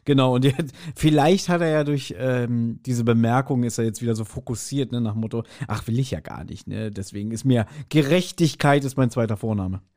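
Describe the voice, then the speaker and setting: A man's voice: mid-range voice, a little fast, hardly any pauses, a little mellow. A man co-hosting a podcast, commenting on a story in a colloquial, wry, chatty way. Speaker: podcast co-host. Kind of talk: casual chat commenting and speculating on an audio drama.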